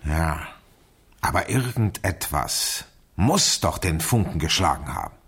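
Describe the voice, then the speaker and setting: A probing, authoritative investigator in a 1970s German radio drama. His voice is deep and husky, with a lively rise and fall. He speaks deliberately and persuasively. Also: heavily acted. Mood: deductive, insistent. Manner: deliberate, emphatic.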